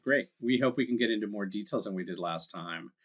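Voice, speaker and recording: A man's voice: fairly low pitch, brisk, slightly rough. A man co-hosting a podcast, introducing the guest and asking questions in an upbeat way. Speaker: podcast co-host.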